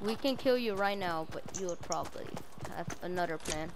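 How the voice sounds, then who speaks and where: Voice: medium pitch, fairly quick, background noise. A female player improvising a roleplay over game voice chat, confrontational and commanding in manner.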